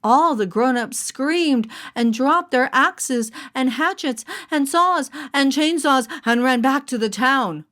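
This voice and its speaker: A woman reading a children's story in a theatrical, deliberate narrating style. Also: high-pitched, lively intonation, fairly bright tone. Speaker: storyteller, voice actor.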